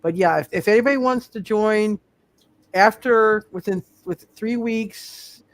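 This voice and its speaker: A man hosting as game master in casual talk, halting and often repeating himself as he circles back. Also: sits high, no echo. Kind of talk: casual sign-off talk on live roleplay show.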